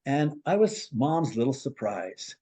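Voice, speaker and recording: A man in a middle register tells a counseling story in a podcast conversation. He works as a marriage and family therapist. He acts out the people he quotes with sudden leaps in pitch. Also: a little slow, breathy edge. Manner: animated storyteller, acts out quoted voices with big pitch jumps.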